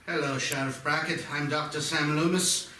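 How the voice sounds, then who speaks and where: Man reading a bright, intense psychiatrist in his fifties. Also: a little high, steady tone, normal speed. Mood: grave, insistent. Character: grim obsessed psychiatrist, Vietnam veteran, in his fifties. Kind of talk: screenplay table read. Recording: noisy, echoey room, distant mic.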